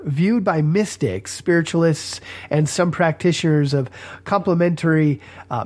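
A man hosting a teaching podcast while fighting a cold, congested with a scratchy throat, casual and chatty, pausing to cough. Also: on the high side. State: stuffy with a head cold. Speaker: podcast host.

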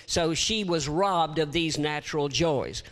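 An older man with a shrill tenor voice preaching a sermon in a church, reading scripture and adding folksy asides. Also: unhurried. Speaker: guest preacher.

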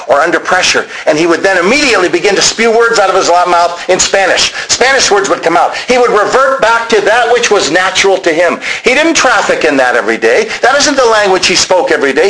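A male preacher with a somewhat high-set voice delivers a sermon. He explains as he goes, speaking straight to his listeners, and leans on repeated phrases for emphasis. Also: pushed and bright, poor audio. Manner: emphatic repetition of key phrases; explanatory, addressing listeners directly.

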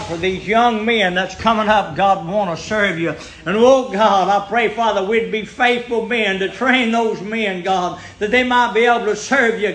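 Folksy older preacher in echoey church. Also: male voice, high voice, a bit bright. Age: 70s. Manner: folksy, emphatic, calls for amens. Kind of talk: sermon. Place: church sanctuary.

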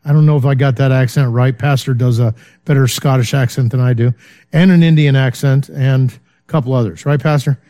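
A man's voice: medium pitch, fairly even intonation, fairly quick, warm tone. A man teaching a Bible study in a loose, conversational way, full of fillers and checking in with his listeners. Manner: informal, many fillers, checks in with "okay?".

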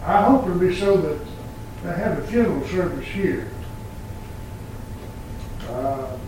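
An old man in his eighties preaching a sermon in a church, quoting scripture in slow, emphatic phrases. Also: high-pitched, darkish tone, slight background noise. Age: 80s. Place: church sanctuary. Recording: very echoey church from far off.

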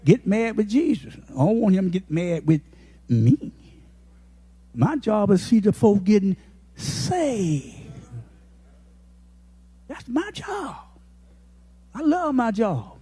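Husky old man preaching with fervor. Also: sits high, big pitch swings, slow, pauses often. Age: 80s. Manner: impassioned, folksy preaching with shouted peaks. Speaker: pastor. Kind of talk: sermon.

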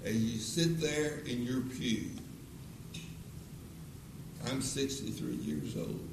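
Preacher in his eighties, slow and earnest altar call, heard from far off in an echoey hall with noise. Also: medium pitch, sing-song. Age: 80s. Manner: slow, earnest, repeated pleading questions. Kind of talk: closing sermon with altar call. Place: church auditorium. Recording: echoey auditorium from a distance with noise.

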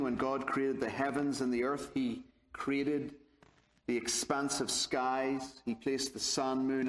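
A man from Northern Ireland with a Belfast accent, giving a theology lecture in a measured, deliberate way with touches of dry humor. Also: mid-range voice. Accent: Belfast, Northern Irish. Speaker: guest lecturer. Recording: echoey lecture hall.

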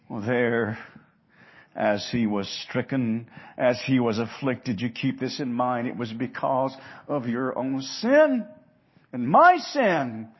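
A man preaching an expository sermon in an earnest, emphatic manner, moving between hushed and loud delivery with rhetorical questions and repeated phrases. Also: high voice, breathy edge, muffled recording.

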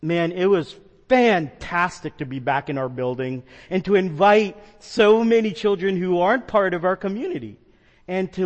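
A man's voice: fairly high pitch, long reverb tail. A man from the New York metro area preaching a sermon as a pastor, earnest and conversational with some fillers. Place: church sanctuary.

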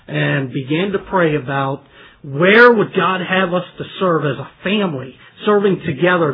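A man in his forties giving an animated, emotional testimony as a lay preacher, with big leaps in pitch and moments close to tears. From Trinidad. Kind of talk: personal testimony sermon. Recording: tinny phone-like sound, faint hiss.

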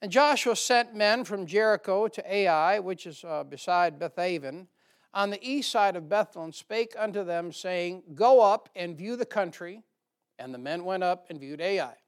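A man's voice: high-pitched, expressive, moderate pace, clear, resonant tone. A middle-aged American man preaching a church sermon and reading from the Bible, with informal, folksy asides to the congregation.

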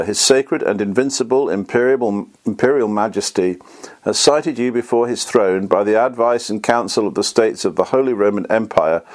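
A formal imperial chancellor speaks before a court in a distinct, official voice, as a man reading a history puts him on. The delivery stays in a plain, middle pitch. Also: darkish tone, natural voice.

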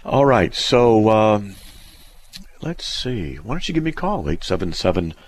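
A man's voice: lowish voice, big pitch swings, unhurried. A middle-aged man hosting a talk radio show, rambling conversationally with many "uh" fillers into a studio mic. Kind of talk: solo talk radio monologue.

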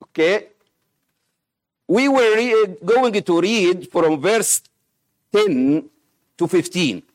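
Slow Egyptian-accented missionary preacher. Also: male voice, sits high, expressive. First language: Arabic. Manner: halting, many pauses and fillers. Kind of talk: sermon with scripture reading and prayer.

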